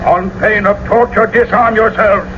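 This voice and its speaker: An actor playing a threatening, imperious prince in a radio drama, delivering his words as a booming proclamation. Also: fairly even intonation, normal speed, natural voice. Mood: wrathful, commanding. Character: stern, commanding, threatening prince. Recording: noisy, muffled old radio broadcast recording.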